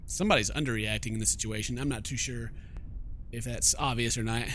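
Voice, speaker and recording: A man's voice: mid-range voice, fairly even intonation, brisk, fairly bright tone, slight background noise. A man doing let's play commentary, reading game text aloud and tossing in joking, theatrical asides. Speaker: gaming YouTuber.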